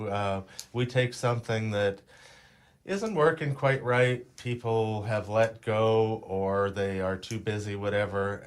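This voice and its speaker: A man talking casually as a guest landscaper, explaining his work thoughtfully with pauses. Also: fairly low pitch. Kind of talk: casual interview talk.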